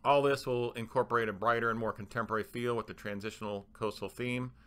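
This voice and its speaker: A man giving a halting, explanatory voice-over for a slide presentation, speaking as a manager laying out plans. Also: medium pitch, steady tone, fairly bright tone, clear, resonant tone.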